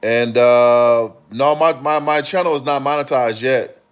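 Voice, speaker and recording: A man from Chicago hosting a casual livestream, answering comments in a relaxed, rambling way with frequent fillers and restarts. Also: medium pitch, unhurried, clear, resonant tone. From Chicago, Illinois. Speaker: livestream host.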